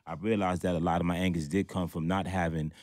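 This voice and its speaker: A man's voice: deep voice, steady tone, fast, darkish tone, dry recording. A man in his thirties, a guest in a radio studio discussion, speaking earnestly about his past and often repeating words. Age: 30s. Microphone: studio mic.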